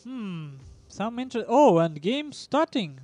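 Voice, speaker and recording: Male caster, Russian accent, slightly higher voice, halting delivery full of fillers, casual match commentary. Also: big pitch swings, warm tone, no echo. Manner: halting, many ums and uhs, chuckles. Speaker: esports commentator.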